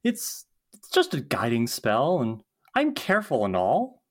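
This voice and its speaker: A woman's voice: a bass voice, wildly swooping pitch, a little slow, heavily acted. A woman playing an eager, defiant young witch in a podcast script read, with an emphatic, dramatic delivery. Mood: sulky, determined.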